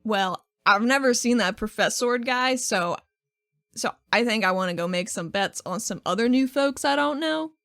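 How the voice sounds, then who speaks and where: A woman acts out an excitable young woman in a tabletop game. Her voice is fairly high and swings widely as she gushes and gets flustered. The sound is close and dry. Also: moderate pace, no echo. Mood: eager, friendly. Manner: gushing, flustered, expressive. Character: Athena, excitable, easily starstruck young woman.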